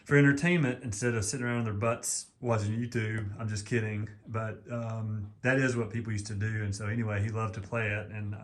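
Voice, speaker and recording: A man from East Texas with a deep voice, rambling in a folksy way with many ums in a casual home how-to video, recorded at home on a camera mic. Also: flat delivery, brisk, hardly any pauses. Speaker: vlogger.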